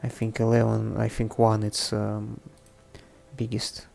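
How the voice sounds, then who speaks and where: A low-voiced man talks through a casual screen-share coding tutorial. His English is non-native and halting, with plenty of gravel in the voice, over faint music. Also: completely flat delivery, darkish tone. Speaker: presenter of a programming tutorial series. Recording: faint background music under the voice.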